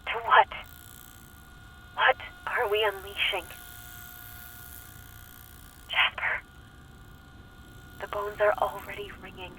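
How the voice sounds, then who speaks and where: An actress playing a haunted, devoted cult follower speaking haltingly with dread on a videotape in a horror audio drama. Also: female voice, medium pitch, flat delivery, bright, forceful tone. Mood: fearful, resolute. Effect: played back from a videotape. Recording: distant, noisy videotape playback.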